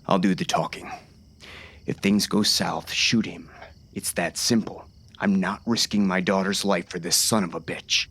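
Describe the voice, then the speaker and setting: A man's voice: sits low, steady tone, measured pace, fairly bright tone, slightly rough, full character voice. A man playing a hard, vengeful sheriff in a western audiobook, speaking in a curt, threatening way. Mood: grim, threatening. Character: hard, menacing male sheriff, a vengeful father. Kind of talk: first-person audiobook narration and dialogue.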